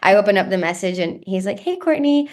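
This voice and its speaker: A woman's voice: medium pitch, flat delivery, quick pace. A thirty-year-old woman from Texas, a podcast guest, telling stories in a rambling, self-deprecating way full of fillers, heard over a video call. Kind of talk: casual podcast chat. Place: room at home.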